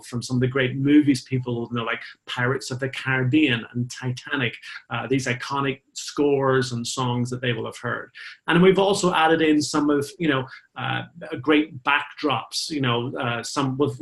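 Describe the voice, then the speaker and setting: A man's voice: medium pitch, a bit bright, rough recording. A middle-aged man with a Northern Irish accent, a flute player chatting as an interview guest, friendly and talkative with many fillers. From Northern Ireland. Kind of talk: interview guest, conversational storytelling.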